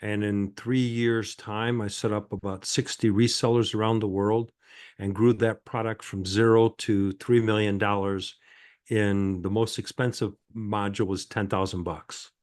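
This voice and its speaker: An older man, answering as a guest in an interview in a halting, conversational way. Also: fairly low pitch, level tone, a little mellow. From born in France, grew up in Michigan, United States. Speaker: podcast guest, sales and marketing consultant.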